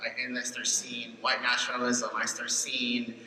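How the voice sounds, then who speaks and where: Guy from peru on a panel, bright voice, echoey hall with a faint hum. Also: medium pitch, monotone, moderate pace, distant mic. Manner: blunt, critical. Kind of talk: panel discussion.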